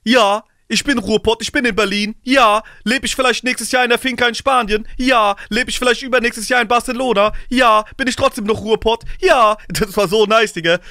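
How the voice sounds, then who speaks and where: A man in his thirties giving casual, animated, slangy reaction commentary in bursts, close on a studio microphone. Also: very high-pitched, normal speed, few pauses, dry recording. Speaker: music reaction streamer. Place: small indoor streaming studio. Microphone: close studio microphone on desk, headphones.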